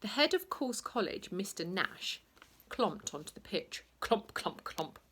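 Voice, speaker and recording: A woman narrating a children's bedtime story in an expressive, theatrical way, playing up the sound words. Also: mid-range voice, a little slow, very noisy.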